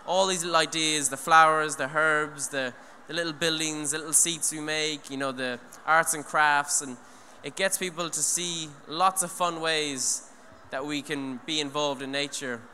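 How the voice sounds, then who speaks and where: A man's voice: fairly high pitch, steady tone, normal speed, few pauses, bright, forceful tone. A young man giving an earnest, rambling talk on stage into a handheld mic, full of restarts and "you know". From Ireland. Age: young adult. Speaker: speaker at an eco event. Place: large hall, on stage. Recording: long hall reverb, faint noise.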